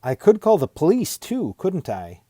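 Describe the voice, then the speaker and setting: A man's voice: on the high side, huge pitch swings, unhurried, warm tone, no room sound, voice pushed up, exaggerated voice. A man voicing a guarded, wry teenage girl in a storybook, speaking hesitantly with a dry, challenging edge. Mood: wary.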